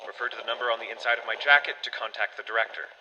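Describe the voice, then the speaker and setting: A man playing a terse space crew commander with a brisk, official manner in an audio drama monologue. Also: mid-range voice, pushed and bright, long reverb tail, lo-fi sound, a little hiss or hum. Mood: formal.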